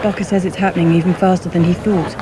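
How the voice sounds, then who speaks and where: A British woman acting a wry, level-headed wife in a radio play. She talks conversationally and drily, with clear street noise around her. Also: lowish voice, fairly even intonation, fast, close to an everyday voice.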